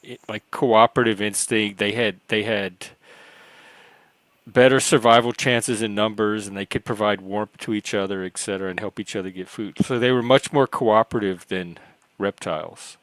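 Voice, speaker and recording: A man's voice: lowish voice, steady tone, normal speed, a bit bright, no echo, poor audio. A man chatting casually on a group livestream in a musing, slightly bookish way.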